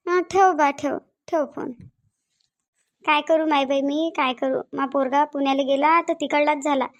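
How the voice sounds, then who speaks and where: A woman plays an aging village mother from a cartoon, with a high voice and a Varhadi Marathi accent. She is lonely and plaintive, pleading and lamenting in repeated phrases. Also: steady tone, moderate pace, natural voice. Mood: lonely, complaining. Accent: Varhadi Marathi of Vidarbha. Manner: repetitive, lamenting. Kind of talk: cartoon dialogue.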